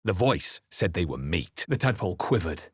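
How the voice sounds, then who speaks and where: A man voicing a brooding adventurer hero in a video game, delivering measured, theatrical lines with grave weight. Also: deep voice, big pitch swings, breathy edge, no room sound, clean studio sound, full character voice. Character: brooding male fantasy adventurer hero, adult, narrating and spellcasting. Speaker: player-character voice actor.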